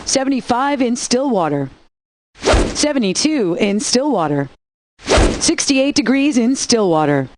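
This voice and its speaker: A woman's voice: on the high side, big pitch swings, unhurried, noisy background. A woman playing a breezy female rock radio DJ, reading scripted station lines with a wry, playful announcer delivery.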